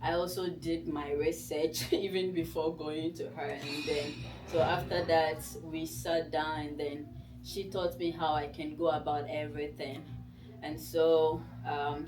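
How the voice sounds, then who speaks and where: A woman with a Ghanaian accent and Nzema as her native language gives an informal personal talk as a guest author, in a conversational storytelling way. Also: sits low. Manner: conversational storytelling, voices her own past thoughts. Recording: distant mic in a room.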